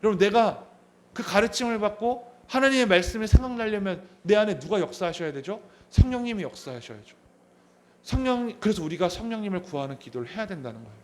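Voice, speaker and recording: A man's voice: high voice, expressive, quick pace. A man in his thirties preaching a sermon as a pastor, asking the congregation questions and repeating key phrases. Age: 30s. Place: church sanctuary.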